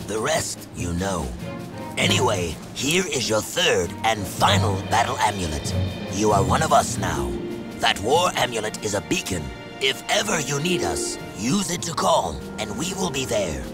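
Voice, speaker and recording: An actor playing a proud older deposed king who leads a desert clan in a video game, speaking gravely. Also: fairly low pitch. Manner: grave, stately. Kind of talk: dramatic video game acting.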